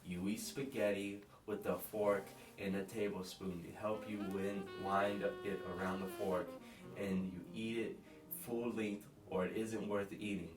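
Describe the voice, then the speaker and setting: A man's voice: on the low side, steady tone, unhurried, far from the mic, natural voice. A man voicing a smug, scornful tough cop in a read-aloud crime story. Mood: contemptuous. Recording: echoey room, off mic, guitar music behind.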